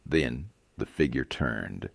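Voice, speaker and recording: A man's voice: rumbling low, lively intonation, takes it slowly, creaky, husky, dry recording. A man narrating a scripted Western story dramatically, in short, suspenseful phrases.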